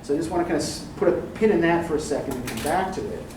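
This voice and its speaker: A man's voice: fairly high pitch, racing. A male literature professor leading a class discussion, talking thoughtfully and checking in with "right?" as he goes. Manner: thoughtful, often adds "right?" and "um". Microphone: distant room recording. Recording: echoey classroom, distant mic, background noise.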